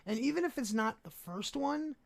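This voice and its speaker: A man guesting on a podcast, chatting casually about music with jokey, animated asides. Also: very high-pitched, normal speed, vocal fry. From Brooklyn. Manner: animated, jokey, acts out quoted voices. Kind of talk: casual chat.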